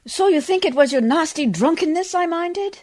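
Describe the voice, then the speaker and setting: A woman's voice: extremely high pitch, animated, moderate pace, a little mellow. A woman acting a prim, pious, stern lady with formal, reproving speech in a radio play. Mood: reproachful.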